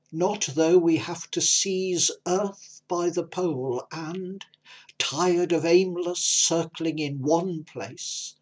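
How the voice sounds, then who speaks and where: A speaker reciting poetry deliberately, with pauses, in a husky voice. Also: mid-range voice.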